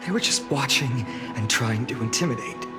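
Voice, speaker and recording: A man's voice: medium pitch, expressive, normal speed, natural voice. A man voicing an earnest, analytical young adventurer in a video game, serious and reasoning, with music underneath. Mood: doubtful, insistent.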